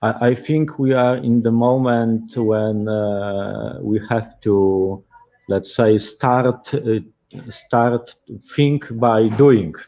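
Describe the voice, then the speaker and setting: Slow, mellow-voiced man on a call. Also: fairly low pitch, fairly even intonation. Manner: hesitant, many fillers and restarts. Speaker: artist. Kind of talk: online meeting discussion. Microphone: video call.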